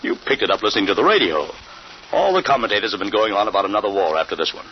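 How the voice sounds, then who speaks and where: A low, widely swooping voice gives us a middle-aged father, played by a man, halting and incredulous as he questions his son. The old radio recording sounds dull and muffled, with a faint hiss. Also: animated, natural voice. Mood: dismissive. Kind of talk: 1950s radio drama acting.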